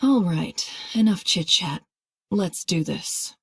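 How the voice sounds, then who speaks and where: Brief: proud, resolute boss woman, lowish register, measured and wry, game cinematic drama with music underneath. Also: expressive, vocal fry, heavily acted. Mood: commanding. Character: composed, proud, resolute woman who leads a secret organization. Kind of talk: dramatic game cutscene acting.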